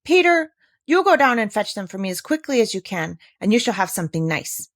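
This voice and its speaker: A woman's voice: mid-range voice, very animated, brisk, pitched above the performer's own voice, close to an everyday voice. A woman voicing an exasperated, indignant aunt who scolds emphatically in a storybook read-aloud. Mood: brisk. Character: scolding, exasperated young aunt in a children's classic.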